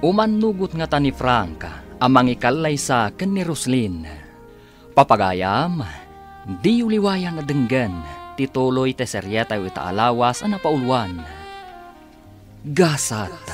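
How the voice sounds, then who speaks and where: A man's voice: medium pitch, very animated, a little slow, pauses often. A man with a Filipino accent announcing a radio drama in a scripted style. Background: music.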